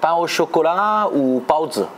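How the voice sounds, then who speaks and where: A man's voice: a little high, animated, unhurried, a little hiss or hum. A man from China, a native Chinese speaker, presenting and interviewing in a scripted style. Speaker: host and interviewer.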